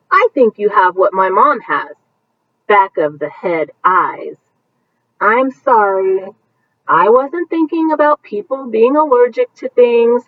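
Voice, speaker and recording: A woman, a teacher, reading a picture book aloud and pausing to point out the pictures for young listeners. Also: a little high, far from the mic, highs cut off. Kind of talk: bedtime story read-aloud with commentary.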